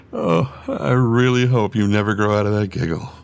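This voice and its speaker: A man voicing a gentle, sentimental aging father animal in a motion comic, speaking tenderly and with emotion in a soft, husky voice. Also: on the low side. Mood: delighted.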